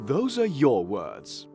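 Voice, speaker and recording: A man's voice: mid-range voice, dark tone, heavily acted. A man playing a flamboyant, smug and gleeful god in a theatrical style for a fantasy audio drama. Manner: theatrical, exclamatory.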